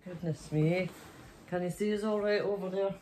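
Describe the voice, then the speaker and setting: A woman's voice: fairly low pitch, steady tone, normal speed, dark tone, slight background noise, poor audio. A middle-aged or older Scottish woman, a grandmother, chatting playfully and reading a story to children in a bedroom. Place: small bedroom, indoors.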